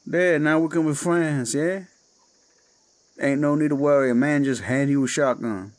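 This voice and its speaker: A man with a Cajun accent playing a menacing male werewolf who poses as a friendly swamp guide, talking in a slow, coaxing drawl in a horror audio drama. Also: on the high side, close to an everyday voice.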